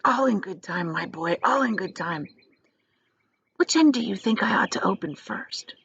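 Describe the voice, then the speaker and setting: A woman voicing an excitable, conspiratorial old grandfather in a storybook, with breathy whispering and eager, nervous urging. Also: mid-range voice, moderate pace, muffled recording, slight background noise. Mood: nervous, hopeful.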